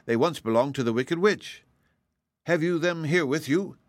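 A man's voice: fairly high pitch, moderate pace, dry recording, pitched above the performer's own voice, natural voice. Man doing a wistful little humbug wizard, dry sound. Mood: curious. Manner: wistful, thoughtful.

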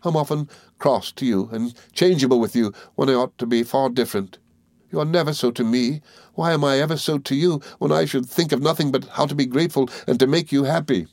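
A man's voice: mid-range voice, steady tone, a little fast, no echo, clean studio sound, pitched above the performer's own voice, close to an everyday voice. A man voicing a tearful young woman in a storybook, agonised, repetitive and full of self-reproach as she pleads. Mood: guilty anguish.

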